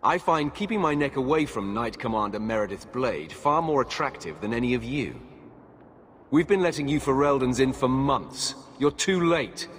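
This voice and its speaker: In a long, reverberant space with some background noise, a man plays a stern guard captain from a fantasy game. He is firm and dry, mid-pitched, and gives no ground. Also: lively intonation, normal speed, a bit bright, close to an everyday voice. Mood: firm, exasperated. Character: stern, unyielding guard captain turning away refugees. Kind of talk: fantasy video game acting.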